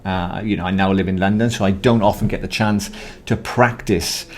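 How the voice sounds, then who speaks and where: Low-voiced man telling a story. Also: steady tone, normal speed, a little mellow. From Wales. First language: Welsh. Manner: storytelling with playful asides. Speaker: podcast co-host. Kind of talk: conversational podcast chat.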